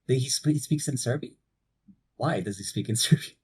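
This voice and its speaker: A young man from Portugal, a university student, co-hosting a casual podcast chat, relaxed and swearing freely on a cheap mic. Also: medium pitch, a little fast, slightly rough. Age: young adult. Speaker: podcast co-host. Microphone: cheap low-quality mic.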